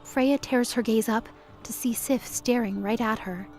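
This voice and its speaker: A woman's voice: on the high side, a little slow, darkish tone, faint music behind. A woman narrating a scripted audio drama with intense, vivid storytelling.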